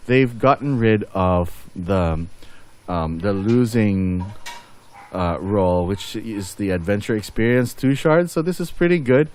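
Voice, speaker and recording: A man's voice: lowish voice, unhurried, darkish tone. A man giving casual game update commentary as a channel host, rambling and thinking aloud as he goes.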